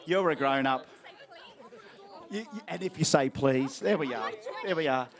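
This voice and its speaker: A man's voice: a little high, measured pace. An Australian man acting as MC at an outdoor event, handing out prizes with playful, teasing crowd banter.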